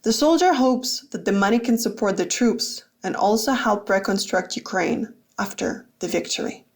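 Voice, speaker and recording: Woman radio reporter reading narration, medium pitch, measured n factual, scripted. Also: animated.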